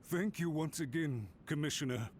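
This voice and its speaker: A man's voice: a little high, normal speed, slight background noise, natural voice. An actor voicing a chastened, deferential male clan servant in a video game. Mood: apologetic, deferential. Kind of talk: video game story dialogue acting.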